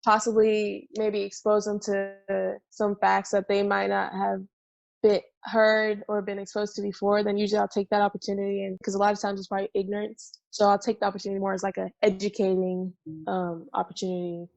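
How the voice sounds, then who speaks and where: A woman's voice: medium pitch, completely flat delivery, brisk, vocal fry, a little mellow, no room sound, highs cut off. A nineteen-year-old woman, a university student, answering interview questions in a hesitant way with many fillers and restarts.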